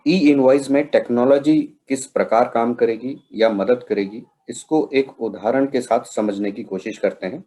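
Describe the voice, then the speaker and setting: A man from India presenting a question-and-answer tutorial in a deliberate way, pausing between phrases. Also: mid-range voice, warm tone. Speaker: presenter of a software training session.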